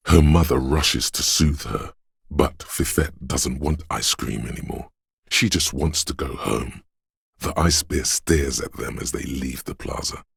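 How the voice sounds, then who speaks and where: The brooding voice of a windswept city, narrating a video game with solemn poetry. The voice is an extremely deep bass, heavily husky and full of gravel. Each phrase is hushed and deliberate. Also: male voice, no echo, studio quality, full character voice. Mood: somber, atmospheric. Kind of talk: dramatic scripted game narration.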